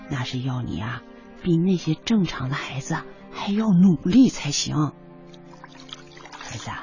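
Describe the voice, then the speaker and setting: A woman's voice: extremely low pitch, creaky, heavily acted. A woman acting a careworn, devoted middle-aged mother in a radio drama, speaking in an earnest, plaintive, homely way. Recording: dull radio drama recording, music bed.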